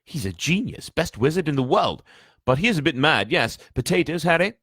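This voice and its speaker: A man doing a pompous, airy teenage prefect in a storybook reading. The voice is lifted in pitch and lively, with a husky grain. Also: fairly quick, dry recording, clean studio sound, full character voice.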